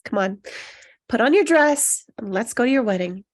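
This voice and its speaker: A woman's voice: on the high side, lively intonation, normal speed. A woman reading the part of a loyal, soothing best friend in a screenplay table read. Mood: reassuring. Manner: soothing, encouraging. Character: Annie, loyal reassuring best friend of a nervous bride.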